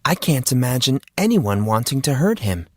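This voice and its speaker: Male narrator as composed woman, higher pitch, sweet, playful, a touch indignant, audiobook character. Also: big pitch swings, normal speed, no echo, clean studio sound. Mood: concerned.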